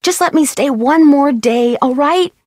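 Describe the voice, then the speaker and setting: Young girl detective in a video game, earnest n polite, higher voice, dry sound. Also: a little slow, a little mellow, no echo. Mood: insistent. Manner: earnest, reassuring. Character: polite, determined young female amateur detective.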